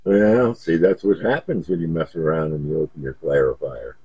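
A man's voice: extremely low pitch, moderate pace, warm tone, husky, dry recording, muffled recording. A man chatting casually over CB radio in an easygoing, folksy, hesitant way. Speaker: CB radio operator. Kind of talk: casual radio contact chat.

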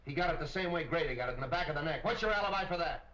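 A tough middle-aged police captain interrogates in a 1940s crime picture. He is gruff and accusing, quick and widely swinging in pitch. The soundtrack is old and muffled. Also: lively intonation, sharp tone. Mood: suspicious. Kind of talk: 1940s crime film acting.

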